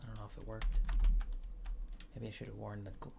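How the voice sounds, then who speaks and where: Male ASMR performer, gravelly soft voice near whisper, halting and politely reassuring as a mild intake official, with typing and clear background noise. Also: monotone. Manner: halting, low-key, polite intake-interviewer delivery. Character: mild-mannered alien official disguised as human, awkwardly reassuring. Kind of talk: ASMR roleplay, soft improvised questioning.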